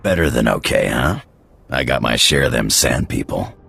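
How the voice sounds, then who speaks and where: A man playing a gruff, cocky, hot-tempered space pirate pilot in a video game, with a gravelly voice and rough slangy talk. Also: very deep voice, animated, moderate pace, bright, forceful tone, heavily acted. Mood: cocky, casual. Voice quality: gravelly, husky.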